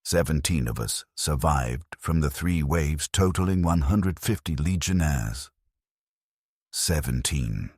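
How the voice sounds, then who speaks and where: A man narrating a dramatic scripted first-person war story as a grim, war-weary soldier, in a grave, measured, deliberate delivery. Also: rumbling low, expressive, slightly rough, no echo, studio quality. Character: grim war-weary penal legion soldier recounting battles. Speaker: narrator of a fan lore channel.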